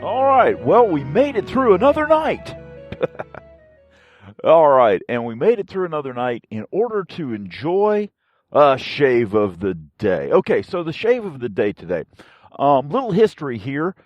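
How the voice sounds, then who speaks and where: A male podcast host talks in an unhurried, folksy ramble. His pitch swings up and down in a lively way as he pauses often mid-thought. The sound is dry and close, like a casual solo review. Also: no echo, muffled recording, faint music behind.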